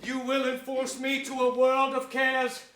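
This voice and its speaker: Slow, high-pitched scheming royal usurper. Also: male voice, completely flat delivery, a bit bright, slight background noise. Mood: pious false reluctance. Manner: theatrical, slow, emphatic. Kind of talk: declamatory Shakespearean stage acting. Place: theatre stage before an audience. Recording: echoey theatre stage.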